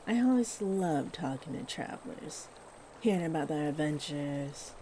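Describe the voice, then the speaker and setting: A woman voicing a chatty, kindly shapeshifting fairy, nearly human-sized, gentle and expressive, in a scripted roleplay spoken to the listener. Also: low voice, measured pace, slight background noise. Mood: friendly, chatty.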